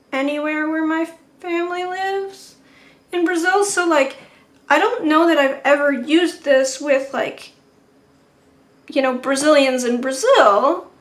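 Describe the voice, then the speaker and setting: A woman hosting a live watercolour painting stream, chatting with viewers in a rambling, laughing way. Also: sits high. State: sore neck.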